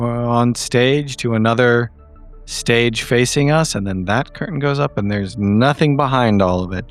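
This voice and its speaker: A man's voice: mid-range voice, close to an everyday voice. A man voicing a jaded, unimpressed woman in a tabletop horror game, dry, wordy and disdainful. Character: jaded, unimpressed woman critic of cosmic theatrics. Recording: music bed behind the voice.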